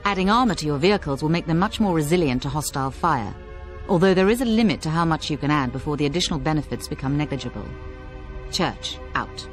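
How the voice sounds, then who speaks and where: An actor playing a brisk, technical military support officer, giving a precise, businesslike game briefing. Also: mid-range voice, lively intonation, soft background music, natural voice.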